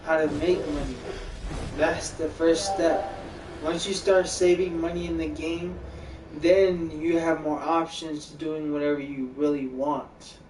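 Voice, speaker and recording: A man's voice: fairly high pitch, level tone, measured pace, a little mellow, slight background noise. Echoey distant male game streamer. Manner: talks like an older mentor, repeats himself, calls the listener bro and buddy. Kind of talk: casual chat while streaming a game.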